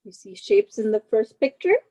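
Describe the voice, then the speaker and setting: A woman's voice: a little high, very animated, moderate pace, warm tone, no echo. A woman reading a picture book aloud as a teacher, explaining simply to young children and asking them questions. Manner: simple instructive phrasing aimed at young children.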